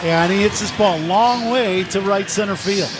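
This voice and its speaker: A man's voice: high-pitched, normal speed. An American man giving conversational color analysis during a live baseball radio broadcast. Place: broadcast booth at a ballpark. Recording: live broadcast over crowd and noise. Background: stadium crowd and clear noise.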